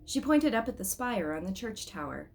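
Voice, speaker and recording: A woman reading a storybook aloud as an expressive narrator. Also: medium pitch, normal speed, gravelly, a little hiss or hum. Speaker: storybook reader.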